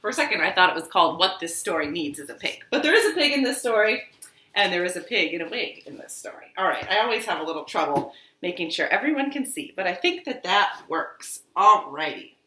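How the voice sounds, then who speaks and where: A woman hosting storytime for young children, speaking in an expressive, encouraging sing-song way in a living room. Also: on the low side, fairly quick. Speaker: children's storytime librarian host. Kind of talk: children's storytime, talking and reading aloud to young kids. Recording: roomy home living room echo.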